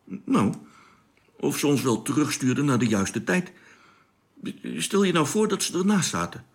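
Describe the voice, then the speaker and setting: A man's voice: on the high side, big pitch swings, a little slow. A man acting in a radio play as a candid, pensive spaceship radio operator with a hesitant, informal manner. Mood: puzzled, persistent. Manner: hesitant, informal, thinking aloud.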